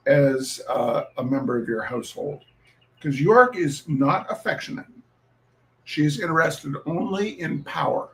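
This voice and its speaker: A man speaks very slowly in a fairly high register, as the host of a reading night. He tells personal stories informally, pausing and repeating phrases for effect. His manner is wry and a little theatrical. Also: vocal fry, rough recording. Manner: deliberate, halting, with dramatic pauses and repetition. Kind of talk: informal spoken introduction with personal storytelling.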